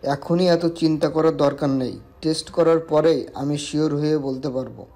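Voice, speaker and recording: Audio story part: man as reassuring senior doctor, measured, mellow, slightly high male voice. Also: fairly even intonation, natural voice. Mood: calm concern. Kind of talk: Bengali audio story acting.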